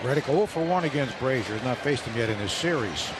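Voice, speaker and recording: A man's voice: medium pitch, lively intonation, quick pace. Man, mid-range voice, radio baseball commentary, short conversational observations, heavy ballpark noise. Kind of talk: live sports commentary. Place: stadium broadcast booth. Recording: loud ballpark crowd and noise. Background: roaring stadium crowd.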